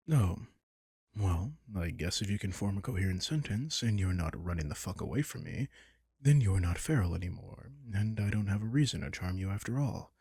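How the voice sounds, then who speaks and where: A man playing a possessive, sardonic vampire king in an intimate roleplay audio drama, teasing and condescending with a husky, breathy edge. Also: lowish voice, a little mellow, no room sound, studio quality, exaggerated voice. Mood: menacing, wary. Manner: teasing, condescending, casually profane.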